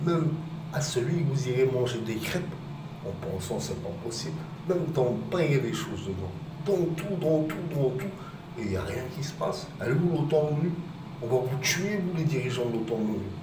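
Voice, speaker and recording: A man's voice: medium pitch, animated, fairly quick. Guy ranting on a street into his phone, far off the mic, echoey, lots of street noise. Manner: agitated, rambling, rising to shouted accusations. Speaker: vlogger. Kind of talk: rambling phone-filmed rant. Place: outdoors on a street near his home. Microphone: phone camera. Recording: roomy distant outdoor phone recording with loud ambient noise.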